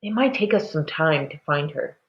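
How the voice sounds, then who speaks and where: A woman voicing a steady, thoughtful storybook father in a hushed, measured manner. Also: low-pitched, lo-fi sound. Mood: pondering.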